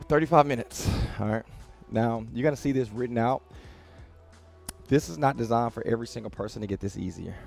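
A man's voice: mid-range voice, fairly quick, gravelly, soft background music. A man in his thirties coaching a workout to camera in a casual, direct, motivational way, recorded in a small gym. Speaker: fitness coach. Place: small home-style gym with rubber floor, indoors.

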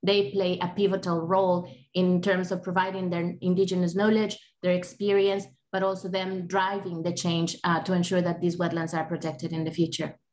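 A woman's voice: lowish voice, little pitch movement, normal speed, hardly any pauses, darkish tone. A woman in her forties from Ecuador, speaking on an online panel over a video call, earnest and full of fillers and restarts. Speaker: panelist from a bird conservation group. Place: home or office on a video call, indoors. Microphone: laptop webcam mic on a video call.

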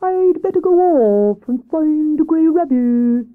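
A woman voicing a cool, bossy male owl in a children's story, with a drawn-out, commanding delivery. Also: high-pitched, expressive, phone-quality sound, voice pushed up. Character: imperious, cool old-fashioned male owl, drawling and bossy.

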